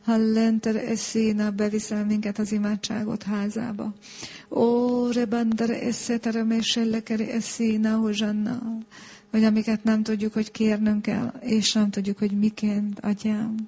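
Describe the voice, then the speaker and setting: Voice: medium pitch, monotone, mellow, lo-fi sound. A prayer leader praying fervently in an incantatory, repetitive way, slipping between words and chanted speaking in tongues.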